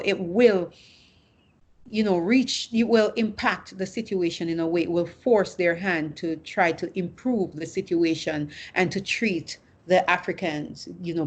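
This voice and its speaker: A woman hosting an interview, thanking her guest with earnest remarks. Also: fairly low pitch. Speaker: interview host.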